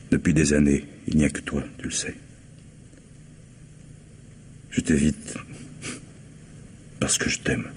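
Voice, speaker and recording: An actor playing a stern, agitated middle-aged man secretly in love, earnest and emphatic, in a French radio play. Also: rumbling low. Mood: tender, grave. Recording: noisy old radio drama recording.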